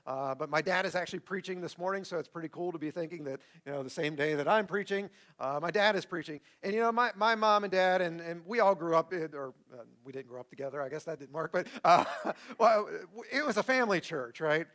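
A man with a somewhat high voice speaks as a pastor to his congregation. His delivery is conversational, and he repeats words and phrases to drive his message home. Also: animated, brisk, hardly any pauses, a bit bright. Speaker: pastor preaching. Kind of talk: church sermon with closing prayer and announcements.

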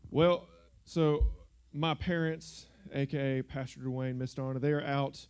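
A man's voice: medium pitch, fairly even intonation, measured pace, a little mellow, clear, resonant tone. A young man giving a casual, joking sermon from a church stage on a handheld microphone. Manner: joking, conversational, uses filler words like "like" and "y'all". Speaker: guest preacher. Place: church sanctuary.

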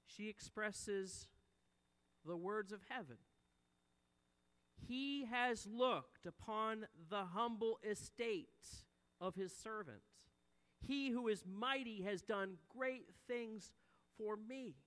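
High, bright-voiced preacher in a reverberant hall. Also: male voice, slow pace, smooth. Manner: earnest, swells and drops in volume for emphasis. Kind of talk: sermon.

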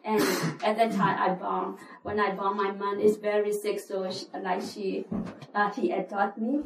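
A woman's voice: medium pitch, flat delivery, measured pace, roomy sound, background noise. Woman telling her story, distant. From Burma. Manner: plain, hesitant, many uh fillers. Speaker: refugee giving testimony. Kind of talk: church testimony, non-native English.

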